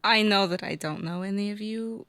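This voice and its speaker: A woman playing a withdrawn, guilt-ridden woman mercenary in an improvised tabletop game, speaking haltingly. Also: medium pitch, fairly bright tone, no echo, close to an everyday voice. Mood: vulnerable. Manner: halting, subdued.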